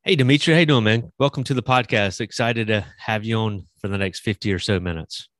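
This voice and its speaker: A man's voice: fairly low pitch, fairly quick, fairly bright tone, no room sound. A man hosting a podcast interview, chatty and rambling with many fillers. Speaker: podcast host.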